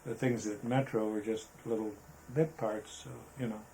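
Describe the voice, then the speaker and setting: A man's voice: fairly low pitch, fairly even intonation, mellow. Hesitant older American man reminiscing, noisy recording. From Arizona, United States. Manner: hesitant, halting, rambling. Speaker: interviewee, veteran photographer. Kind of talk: casual reminiscing interview. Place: indoors, photographer's studio.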